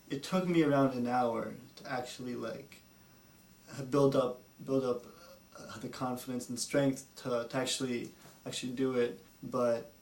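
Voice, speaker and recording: A young man who stutters, talking candidly in a therapy session, halting and hesitant with many fillers. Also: mid-range voice, vocal fry, echoey room, distant mic, rough recording. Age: young adult. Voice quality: stutters, with blocks and repeated words. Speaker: speech therapy client. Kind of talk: candid interview talk.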